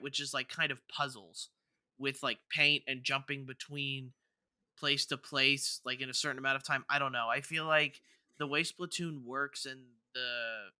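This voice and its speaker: Male podcast host, casual and rambling with stammered restarts, very forward bright tone, clean articulation. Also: mid-range voice, clean tone, no room sound, clean studio sound. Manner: rambling, stammering with repeated false starts. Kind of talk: casual gaming news discussion.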